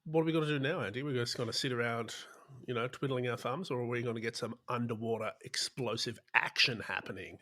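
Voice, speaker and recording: A man's voice: medium pitch, fast, pushed and bright. A man co-hosting a podcast, talking in a casual, bantering conversational style. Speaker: podcast co-host.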